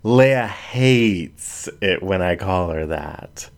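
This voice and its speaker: A man voicing a teasing adult female cat in an audiobook, with a slow, affectionate manner. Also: sits low, expressive, full character voice. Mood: fond, teasing.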